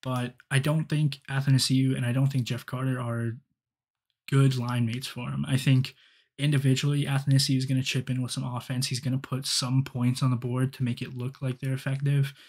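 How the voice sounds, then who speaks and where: A man's voice: mid-range voice, level tone, a little fast, darkish tone, husky. A young man giving rambling solo hockey commentary with many ums and restarts, recorded on a headset in a small dim room. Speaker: hockey fan podcaster. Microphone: headset, webcam framing.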